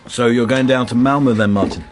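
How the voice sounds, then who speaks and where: A man's voice: medium pitch, steady tone, brisk, a little hiss or hum, lightly acted. A man acting in a radio drama as a hearty, grumbling policeman who likes a drink. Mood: jovial.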